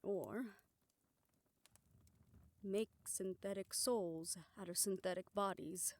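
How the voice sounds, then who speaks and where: Soft-spoken woman in audio roleplay. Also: medium pitch, slow. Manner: soft, hushed delivery, often dropping to a whisper. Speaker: audio roleplay performer voicing several characters. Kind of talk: scripted audio roleplay dialogue.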